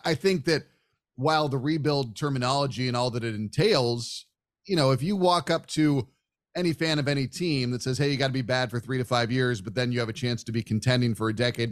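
A man hosting a sports podcast, talking in a chatty, discursive way like a casual broadcaster in conversation. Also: medium pitch. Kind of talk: casual sports interview talk.

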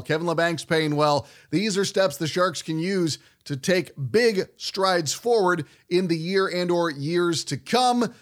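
A man's voice: on the high side, fairly bright tone. An American man hosting a sports talk show in a chatty, opinionated, conversational way.

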